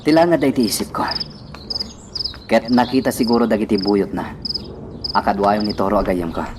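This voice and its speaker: A man's voice: on the low side, fairly even intonation, normal speed, lightly acted. A man with an Ilocano accent playing a devoted but vengeful young son in a radio drama, earnest and dramatic. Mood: concerned. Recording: loud insect and clicking noise.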